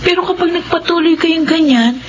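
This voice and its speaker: An actress playing an attentive, devoted young adult daughter in a radio drama, gentle and coaxing. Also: female voice, extremely high pitch, brisk. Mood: earnest concern. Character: attentive, devoted young daughter looking after her mother. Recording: dull, noisy radio drama audio.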